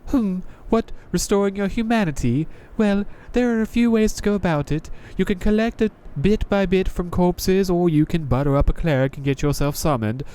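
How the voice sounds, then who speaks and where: A man's voice: high voice, normal speed, hardly any pauses, mellow, clear, resonant tone, voice pushed up, lightly acted. A man voicing a jaded, contemptuous female knight from a visual novel, with a sardonic, taunting manner. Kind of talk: visual novel character reading.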